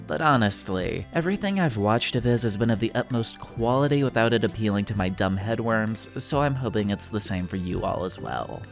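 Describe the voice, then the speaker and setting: A man's voice: on the low side, vocal fry. A man narrating a casual scripted video essay in a slangy, self-deprecating, wry way. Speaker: YouTube video creator and host. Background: music.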